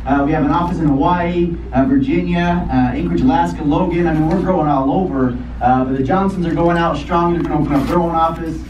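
A man speaking to staff in a rapid, boastful, rambling speech. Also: fairly high pitch. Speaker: business leader addressing staff. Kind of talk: speech to a crowd. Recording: roomy, distant, muffled, with noise.

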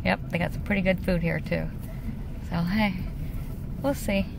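A woman in her fifties with an American accent, chatting casually into a handheld phone camera, folksy and quick to laugh. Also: on the low side, fairly even intonation, creaky, noisy background. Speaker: vlogger. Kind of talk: casual vlog chat. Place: inside a vehicle.

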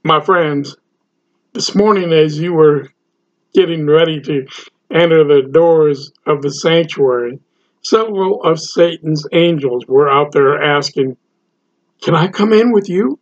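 An older man, a pastor, preaching a sermon slowly and deliberately to a webcam from his home study. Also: on the high side. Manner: slow, deliberate preaching to camera. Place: home study with bookshelves, indoors. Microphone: webcam, seated at computer. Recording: dull lo-fi webcam audio.

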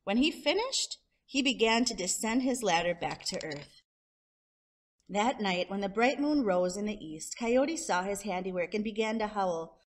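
A woman's voice: medium pitch, animated, a little slow, a little hiss or hum. A woman storyteller reading a children's picture book aloud expressively, drawing out descriptive words. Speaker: storyteller, show host.

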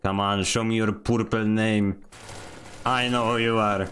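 A young man from Poland streaming a game, chatting casually and joking with his viewers in quick rambling asides. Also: fairly low pitch, fairly even intonation, a bit bright, clean tone. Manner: rambling, jokey, fast asides to chat. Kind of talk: casual gaming stream chat.

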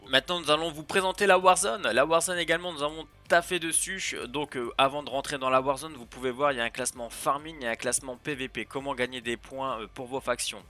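A man's voice: medium pitch, fast, few pauses, bright, forceful tone, faint music behind. A man casually presenting features of a game server over a screen recording, chatty and hesitant, full of fillers and restarts. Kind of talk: casual gameplay showcase narration.

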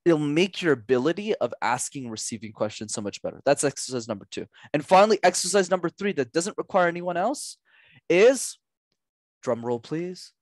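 Lively young man on a headset mic. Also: on the high side, fairly quick, no room sound. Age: young adult. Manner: animated, gestures a lot, drives points home with repetition. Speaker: podcast guest, communication coach. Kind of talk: energetic coaching talk. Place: small room at home.

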